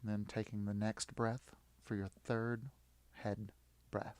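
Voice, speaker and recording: Male meditation guide, fairly low voice, reassuring delivery in short spaced phrases, recorded very dry. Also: flat delivery, a little mellow, no echo. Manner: soothing, encouraging, with long pauses. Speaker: yoga nidra guide.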